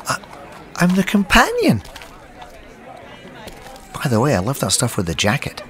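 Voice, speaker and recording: Quirky wry male eccentric acted, hugely animated pitch, flippant, eager, faint background noise, audio drama. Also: unhurried.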